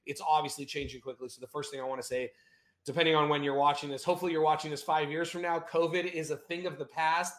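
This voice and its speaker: A man's voice: on the high side, quick pace, roomy sound. A man hosting a casual video interview, talking in an upbeat, chatty way. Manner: upbeat, chatty, energetic opener. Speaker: host.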